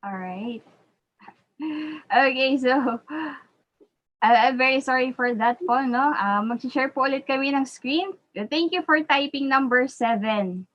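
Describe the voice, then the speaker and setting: A woman's voice: high voice, measured pace, highs cut off. A woman with a Filipino accent politely hosting a casual live online webinar, thanking attendees as she goes. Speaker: webinar host. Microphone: online video call.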